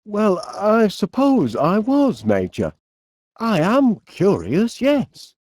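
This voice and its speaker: A middle-aged, eccentric inventor from a cartoon game with a Northern English accent. His delivery swoops up and down at an unhurried pace. He is chirpy and quaintly polite, with a bit of dithering, recorded close and dry. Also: high-pitched, wildly swooping pitch, darkish tone, no echo, full character voice. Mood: polite, hesitant. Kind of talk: cartoon video game voice acting.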